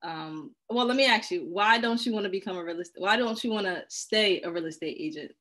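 A woman's voice: medium pitch, a little fast, a bit bright. A young woman hosting a casual, conversational interview over a video call from a room at home. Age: young adult. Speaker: interviewer, host.

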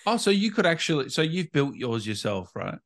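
A man's voice: fairly high pitch, big pitch swings, brisk. A man hosting an informal interview, chatting casually and jumping in with curious questions and interjections. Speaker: interview host. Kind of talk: casual chat.

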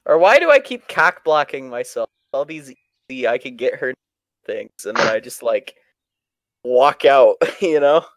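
A man's voice: mid-range voice, sing-song, a little slow, a bit bright, dry recording. Crude, profane young man chatting. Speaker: streamer telling stories. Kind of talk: casual chat.

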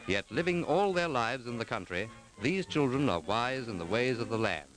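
A man reading scripted narration for a 1940s documentary film in a measured, formal, articulate manner. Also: mid-range voice, expressive, a bit bright, poor audio. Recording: 1940s film soundtrack with music.

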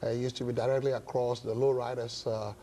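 A middle-aged man with a Ghanaian accent, speaking as an interview guest in measured, hesitant phrases. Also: mid-range voice, flat delivery, mellow, slight background noise. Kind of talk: studio interview, measured explanation. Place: indoor television studio set. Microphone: lapel mic.

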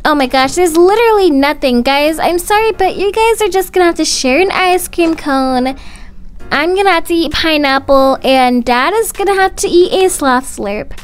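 A woman's voice: high-pitched, animated, normal speed. A woman narrating a scripted family roleplay story, voicing its characters. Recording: music playing underneath. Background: music.

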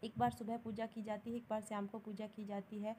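Even-toned young woman. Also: mid-range voice, brisk, slight background noise. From Haryana, India. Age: young adult. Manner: plain, matter-of-fact, mixing in English words. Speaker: vlogger. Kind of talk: casual voice-over narration of daily routine.

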